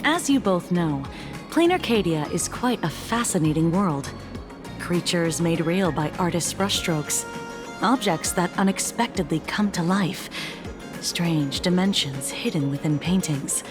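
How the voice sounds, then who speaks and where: A woman's voice: fairly low pitch, expressive, unhurried, music in the background. A woman playing a composed, genial government officer in a video game, speaking politely and explaining things. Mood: genial, explanatory. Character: composed, genial female officer of an anomaly department.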